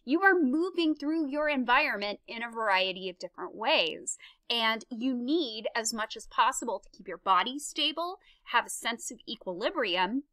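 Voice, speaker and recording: Bright, chatty woman lecturer. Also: on the high side, no room sound. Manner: chatty, self-deprecating, gives hands-on instructions. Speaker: psychology lecturer. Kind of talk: recorded slide lecture. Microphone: close mic, voice-over on slides.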